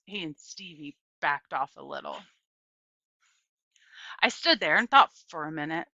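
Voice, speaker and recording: A woman narrates a children's story with very varied pitch and a bright delivery. The recording is dry and slightly dull, lo-fi in sound. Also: animated, a little slow, vocal fry, dry recording. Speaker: audiobook reader.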